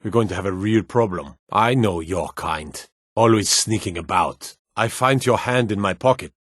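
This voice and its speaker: An actor playing a gruff, suspicious city guard in a fantasy video game, speaking in a curt, wry, world-weary way. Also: male voice, on the low side, normal speed, dry recording, clean studio sound, natural voice. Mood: wary, threatening. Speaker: town guard. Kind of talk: video game character voice lines.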